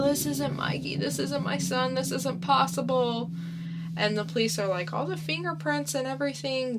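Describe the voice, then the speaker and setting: Slow-talking bright-voiced young woman. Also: on the high side, a little hiss or hum. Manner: laid-back storyteller recapping a plot. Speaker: podcast co-host. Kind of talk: casual podcast chat.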